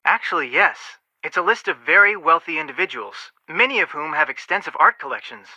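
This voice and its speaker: A man's voice: fairly high pitch, lively intonation, brisk, pushed and bright, no echo, full character voice, low-quality recording. An actor voicing a level-headed, protective young male amateur detective in a video game, speaking in an earnest, matter-of-fact way with dry wit. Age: young adult.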